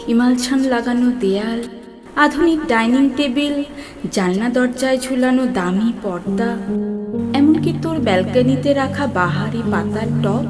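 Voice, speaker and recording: High-voiced woman reciting poetry over music. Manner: expressive, measured, with dramatic pauses. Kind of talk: dramatic Bengali-style poetry recitation. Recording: music bed under the voice.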